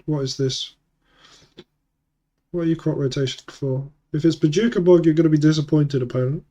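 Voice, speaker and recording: A man with a sniffly cold and a slightly sore throat thinks aloud through an online card game. His voice sits a little higher than most men's, and he murmurs and restarts his lines as each play unfolds. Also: fairly even intonation, moderate pace, dark tone, highs cut off. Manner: muttering, self-correcting play-by-play. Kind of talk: thinking-aloud gameplay commentary.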